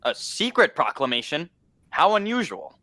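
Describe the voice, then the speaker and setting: A man's voice: on the high side, very animated, normal speed, pushed and bright, no room sound. A young man playing a pompous, deluded fellow who believes he is President, in a radio play. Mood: grand, excited.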